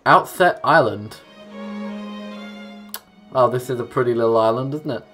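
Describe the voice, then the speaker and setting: A man's voice: medium pitch, a little slow, a bit bright. Young guy doing a gaming playthrough, offhand casual delivery, plucked guitar music under him. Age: young adult. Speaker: let's play host. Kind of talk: gaming commentary, reading game text aloud. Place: small room at home, indoors. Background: game music with plucked guitar.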